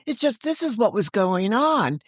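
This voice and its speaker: An American woman in her sixties telling stories conversationally as an interview guest, animated and emphatic, jumping between thoughts. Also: fairly high pitch, moderate pace, breathy edge, dry recording, studio quality. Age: 60s. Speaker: guest, author and audio drama creator.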